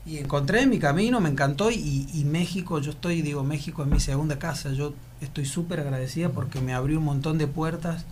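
A man's voice: on the high side, fairly quick, few pauses, noisy background. A man from Argentina with an Argentine accent, an actor answering interview questions in a reflective, rambling way with many restarts. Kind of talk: casual interview conversation.

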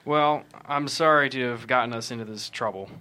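A man playing an earnest, careful professional in a dramatic script read. His voice is mid-pitched with a bright, forward edge. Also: fairly quick, natural voice. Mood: earnest, apologetic. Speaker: actor at a script table read. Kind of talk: dramatic screenplay table read.

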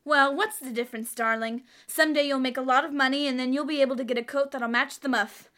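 A woman's voice: sits high, steady tone, quick pace, sharp tone. A woman playing a sweet, devoted, self-sacrificing wife in 1940s radio comedy style. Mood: happy, devoted.

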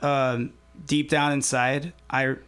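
A young man in his twenties chats about his craft in an easygoing, meandering way. He pauses often and fills gaps with soft ums, and his voice sits at an ordinary middle pitch. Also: smooth, faint music behind. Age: 20s. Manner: relaxed, rambling with many ums. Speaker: musician guest. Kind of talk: casual interview chat.

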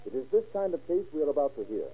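A man narrating a scripted 1930s radio crime show in a formal, measured, dramatic manner with a husky voice. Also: fairly high pitch, very animated. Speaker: narrator and announcer. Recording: dull old radio recording.

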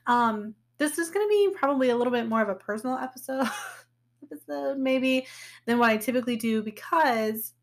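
A woman in her thirties hosting her own podcast, talking candidly and casually with a slightly hoarse voice, often laughing and using fillers. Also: sits high, expressive, a little fast. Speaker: podcast host. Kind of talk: casual personal podcast talk.

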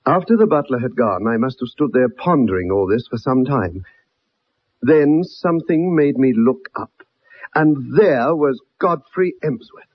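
On a muffled vintage radio recording, a former soldier. His voice is mid-pitched and a little rough, earnest and polite yet insistent, like a loyal friend who is troubled. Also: animated, a little slow. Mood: grave, recounting. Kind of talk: 1960s radio drama acting, first-person narration.

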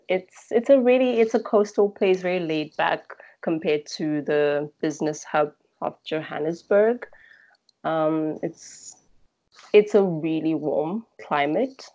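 South African woman, interview guest, mellow lowish voice, thoughtful hesitant phrasing, slightly muffled recording. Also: creaky. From Durban, South Africa. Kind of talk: reflective conversational interview.

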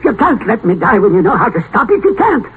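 An actor playing a rich, hot-tempered old uncle terrified of death in a 1950s radio drama, blustering, melodramatic and prone to stammer. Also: male voice, high-pitched, big pitch swings, fast talker. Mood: frantic pleading. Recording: crackly, narrow-band 1950s radio broadcast.

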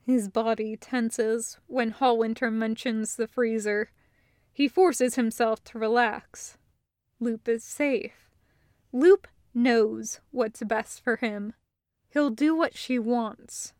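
Female audiobook narrator, higher-pitched, very slow measured read, understated delivery. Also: fairly even intonation, a little mellow, no room sound, studio quality. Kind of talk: scripted fan fiction narration.

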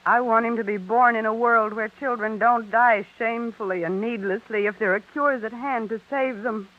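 A woman's voice: a little high, steady tone, moderate pace, close to an everyday voice. An actress playing an earnest, anxious woman in a theatrical, impassioned 1930s radio drama style. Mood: pleading, determined. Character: earnest, anxious young expectant wife, also a grieving mother. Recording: dull lo-fi vintage radio recording.

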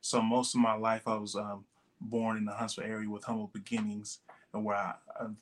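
A man in his late twenties from Texas, a podcast guest talking in a relaxed, rambling way with many fillers. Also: lowish voice, fairly quick. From Texas, USA. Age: 20s. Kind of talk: casual interview talk.

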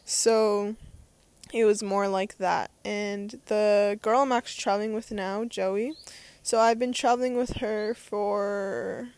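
A woman's voice: mid-range voice, steady tone, slow, a little mellow. A young adult woman talking in a casual, unscripted monologue as a podcast host, rambling and hesitant as she thinks aloud.

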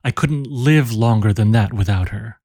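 A man's voice: lowish voice, moderate pace, a little mellow, no echo, clean studio sound, lightly acted. Man playing an ardent young sailor-lover. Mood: muttering. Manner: pleading, intense.

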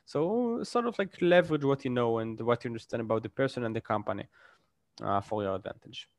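A man speaks in a casual interview, laying out career advice through concrete examples and often ending a point with "right?". His voice sits at an ordinary pitch. The sound is dry and close, with no room around it. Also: lively intonation, brisk, no room sound. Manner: thoughtful, explains with examples and frequent "right?". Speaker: interview guest.

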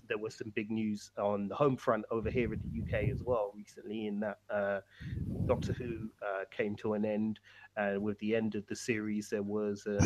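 A man's voice: fairly low pitch, heavy background noise. A man hosting a podcast, talking casually with a head cold and plenty of fillers. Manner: easygoing, many fillers. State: has a cold. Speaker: podcast host.

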